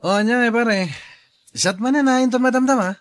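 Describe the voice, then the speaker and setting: An actor playing a teasing, gossipy friend in a Filipino radio drama, with a Filipino accent. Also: male voice, extremely high pitch, a little fast, a bit bright, no echo, studio quality. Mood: teasing, amused. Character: teasing, gossipy male buddy.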